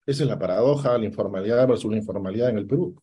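A man, a political analyst answering interview questions, deliberate and sometimes stopping to search for a word. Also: lowish voice, warm tone, breathy edge. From Peru. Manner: deliberate, stops to search for words.

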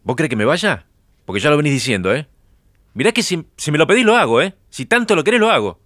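An actor playing an exasperated, defensive husband in a naturalistic audio drama, with a Rioplatense Argentine accent and halting, repetitive speech. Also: male voice, a little high, big pitch swings, a bit bright, no room sound, studio quality. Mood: angry, challenging. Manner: halting, repeats himself. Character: exasperated, defensive husband, weary and stumbling over words.

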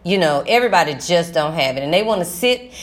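A woman's voice: lowish voice, animated, quick pace. A woman hosting a podcast, speaking in a casual, reflective motivational monologue and repeating phrases for emphasis. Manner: conversational, emphatic repetition for stress. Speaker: podcast host.

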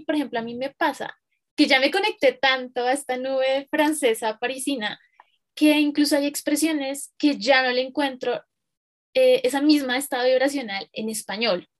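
A woman's voice: high-pitched, moderate pace, a bit bright. A woman talks in a casual, reflective way, thinking aloud and rephrasing as she goes. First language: Spanish. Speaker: conversation partner asking questions.

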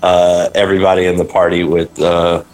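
Hesitant, low-voiced male game master. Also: level tone, moderate pace, a little mellow, rough recording. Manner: hesitant, many fillers. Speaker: dungeon master. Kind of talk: narrating a tabletop game.

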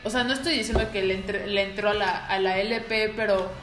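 A woman's voice: medium pitch, steady tone, brisk, a bit bright. A young woman co-hosting a casual music chat, chatty with frequent restarts. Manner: chatty, hesitant restarts, Mexican slang. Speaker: podcast co-host. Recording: echoey room with music behind.

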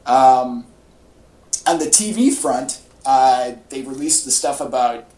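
A man's voice: mid-range voice, animated, slow, warm tone. A man co-hosting a podcast in casual chat, talking informally like an enthusiastic fan. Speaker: podcast co-host. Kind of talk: casual pop-culture chat. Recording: echoey room podcast audio.

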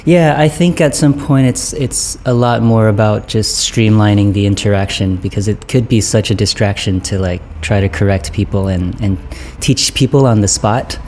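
A man in his thirties, born in Vietnam, hosts a casual podcast conversation. His voice is warm and mellow, easygoing, with frequent laughs. A faint hiss sits behind him. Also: lowish voice, normal speed. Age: 30s. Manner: friendly, laughs often, relaxed pace. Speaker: podcast host. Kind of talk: casual interview chat.

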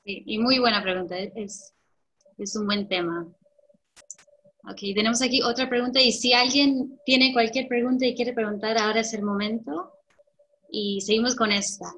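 A woman hosts a live question session, her voice sitting fairly high. She moves briskly between audience questions. Faint noise runs under her voice. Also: steady tone, sharp tone. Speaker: webinar host. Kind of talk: moderating questions.

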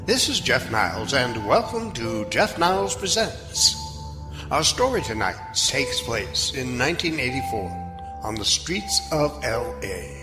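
Anthology radio host, played by a man: dramatic, deliberate, expressive storytelling in reverb over music. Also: mid-range voice. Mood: solemn intrigue. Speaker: host and narrator. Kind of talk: scripted radio drama introduction. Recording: long reverb with music underneath.

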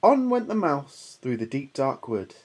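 A woman narrating a children's storybook in a measured, expressive storytelling manner. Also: a bass voice, breathy edge. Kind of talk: scripted children's story reading.

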